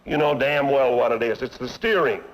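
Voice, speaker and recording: A man's voice: medium pitch, normal speed, lightly acted, poor audio. An actor playing an angry male car owner in a vintage training film, blunt and sarcastic as he complains about bad service. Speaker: dissatisfied customer. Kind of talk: 1950s training film acting.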